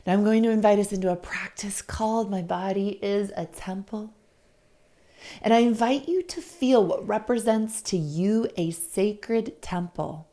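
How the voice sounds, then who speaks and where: A woman leading a guided meditation in a soothing, deliberate, gently inviting manner. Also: mid-range voice. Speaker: guest meditation facilitator.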